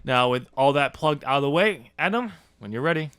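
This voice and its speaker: A man's voice: on the high side, animated, a little fast, background noise. A man hosting a podcast in casual, joking chat. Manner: chatty, joking. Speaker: podcast host and author.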